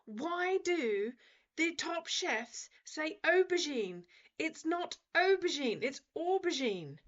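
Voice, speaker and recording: A woman radio host in her forties, chatting casually and ranting with animated, laughing energy. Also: high voice, slow pace, lo-fi sound. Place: radio studio.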